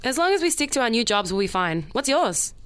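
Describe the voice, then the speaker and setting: Breezy, clear-voiced woman acting as a carefree friend. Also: a little high, very animated, a little fast, a bit bright. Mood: breezy, dismissive. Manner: breezy, dismissive, reassuring. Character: breezy, confident female friend with a new alias. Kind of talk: scripted audio comedy sketch.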